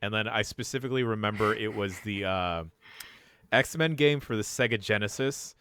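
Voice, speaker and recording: A man on a podcast telling a funny story, slangy and lively. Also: on the low side, moderate pace, clear, resonant tone. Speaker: podcast co-host. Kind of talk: casual chat, animated anecdote.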